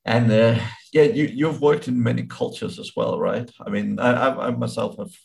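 A man's voice: medium pitch, steady tone, moderate pace, creaky, darkish tone. A man speaking as an interviewer in a casual conversation, hesitant and often restarting his sentences.

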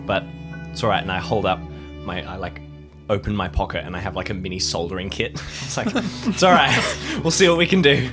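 A man's voice: sits low, lively intonation, fairly quick, music in the background. A man playing in an improvised tabletop audio drama, talking casually in a hesitant, chatty way. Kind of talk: casual improvised role-play.

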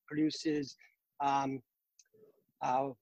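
A man in his fifties with a Boston accent, a guest in a casual interview, talking earnestly with many pauses and fillers. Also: mid-range voice, flat delivery, gravelly, a little mellow, dry recording, dull audio. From Boston, Massachusetts. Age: 50s. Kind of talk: casual interview over a call.

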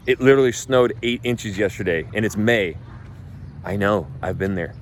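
A man's voice: lowish voice, moderate pace, slight background noise. A man from North Idaho who coaches real estate agents, talking casually in a blunt, emphatic way and swearing freely. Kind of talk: casual talk.

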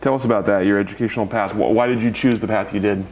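A man's voice: on the low side, steady tone, racing, a little mellow, slight background noise, low-quality recording. A man interviewing a guest for an oral history, asking brief questions with a few stumbles.

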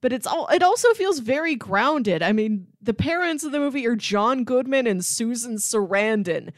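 A woman's voice: fairly high pitch, lively intonation, normal speed, dry recording. Emphatic woman podcast host, dry close sound. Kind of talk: casual film discussion.

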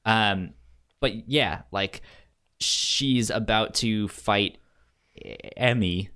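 A man co-hosting a casual review podcast, halting and stumbling over the starts of words. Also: fairly low pitch, bright, forceful tone, clear, resonant tone. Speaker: podcast co-host reviewing anime.

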